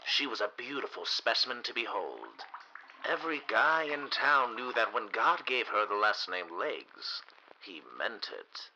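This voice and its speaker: A man narrating a 1930s radio mystery as scripted storytelling, dramatic and measured. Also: on the low side, big pitch swings, sharp tone, a little hiss or hum, poor audio.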